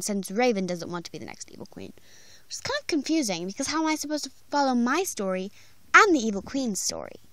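A woman's voice: medium pitch, a bit bright, dry recording, close to an everyday voice. A woman voicing a misunderstood young fairy-tale princess in an amateur cartoon audition monologue.

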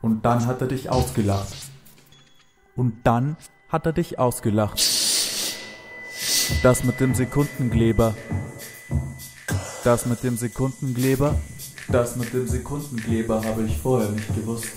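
A man's voice: medium pitch, fairly even intonation, unhurried, pauses often, natural voice. A man playing someone recalling memories in an experimental radio play. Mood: reflective. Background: music.

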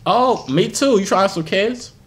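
Deadpan young man teasing strangers. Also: high-pitched, normal speed. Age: young adult. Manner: deadpan, playful trash talk. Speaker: YouTuber on video chat app. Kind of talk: teasing prank chat. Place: small indoor room.

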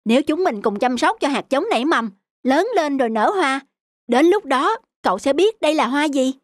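A woman's voice: high-pitched, animated, normal speed, dry recording, studio quality. A woman voicing a kind, helpful young boy in a cartoon, earnest and encouraging. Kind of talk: cartoon dubbing.

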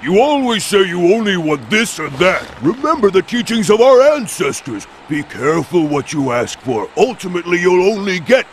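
An actor playing a burly male albatross, the loud, bumbling muscle of a gang. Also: high-pitched, big pitch swings, moderate pace, few pauses, slight background noise. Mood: blustering.

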